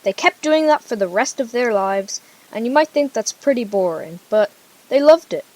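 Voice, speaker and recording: A woman's voice: a little high, expressive, moderate pace, darkish tone. A female storyteller narrating an amateur radio drama informally, with casual conversational asides.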